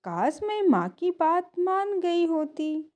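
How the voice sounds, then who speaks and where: A woman plays an excitable little girl bird in a children's cartoon. The voice is high and very animated, with a childlike, pleading manner. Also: slow pace, exaggerated voice. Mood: regretful. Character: excitable young female bird, impulsive and pleading.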